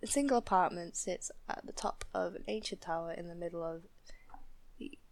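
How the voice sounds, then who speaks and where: A woman's voice: fairly low pitch, fairly quick. A young woman from the north of England with a Northern English accent, chatting casually and hesitantly, often laughing at herself. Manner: giggly, self-deprecating, hesitant with many fillers. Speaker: student vlogger. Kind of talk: casual chat, accent tag video.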